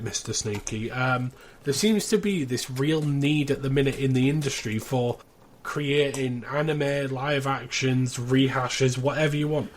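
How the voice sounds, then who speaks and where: Man chatting over background noise. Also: mid-range voice. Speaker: podcast host. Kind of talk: casual panel discussion of a show.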